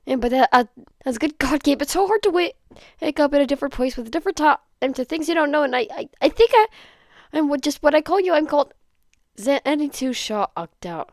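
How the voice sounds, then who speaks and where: A woman's voice: sits high, expressive, darkish tone, no room sound, studio quality, pitched above the performer's own voice. A woman voicing an anxious, guilt-ridden teenage boy in a story, stammering and pleading. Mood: tearful frantic rant. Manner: stammering, pleading, rushed rambling. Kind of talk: dramatised fan fiction reading.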